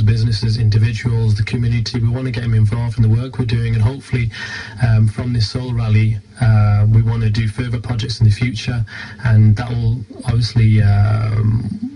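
A man's voice: medium pitch, flat delivery, moderate pace, dark tone, breathy edge, low-quality recording. A British man giving an informal radio interview as a guest, explaining things earnestly. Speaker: charity team leader, radio interview guest.

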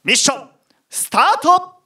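Guy mc at a live event, high lively pitch, emphatic announcer delivery. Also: moderate pace. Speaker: stage event host. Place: cinema stage.

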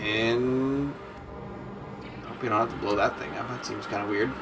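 A man playing a video game with friends, chatty and prone to excited exclamations. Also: mid-range voice, moderate pace, gravelly, over music. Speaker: let's play player. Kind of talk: casual gaming banter.

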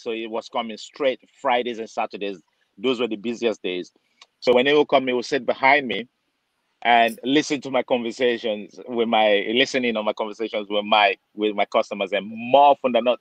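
A man's voice: medium pitch, no room sound. A man from Nigeria who works as a barber, telling a story on a video call, chatty and acting out the voices of people in it. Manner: chatty, acts out dialogue, many fillers. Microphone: webcam on a video call. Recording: dull lo-fi video call audio.

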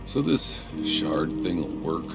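Low, gravelly weary warrior. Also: male voice, sing-song, slow pace, exaggerated voice. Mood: guarded, questioning. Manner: laconic, understated. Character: rugged, bearded male warrior, weary, stoic, dry. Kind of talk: naturalistic game cutscene acting. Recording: loud cinematic music underneath.

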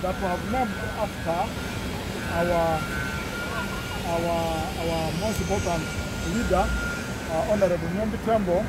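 Middle-aged man, formal and halting with many fillers, high voice, loud river noise behind. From Uganda. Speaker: executive director of a community conservation group. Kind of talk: on-site guide talk to camera. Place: outdoors by a river in green hills. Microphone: camera phone at close range. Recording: loud rushing water and outdoor noise.

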